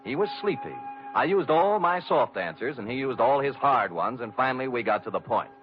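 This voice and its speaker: An actor playing a sardonic, deadpan private detective in a 1940s-style radio noir drama, narrating in the first person. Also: male voice, mid-range voice, a little fast, natural voice. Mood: wry narration. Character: wry, hard-boiled male private detective. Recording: dull vintage radio recording with music.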